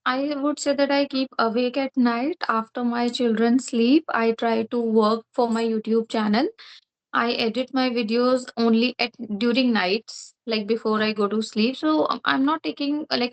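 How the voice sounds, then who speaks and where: A woman's voice: fairly high pitch, steady tone, moderate pace, rough recording. A woman with an Indian English accent, hosting a casual online conversation, friendly and talkative. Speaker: host.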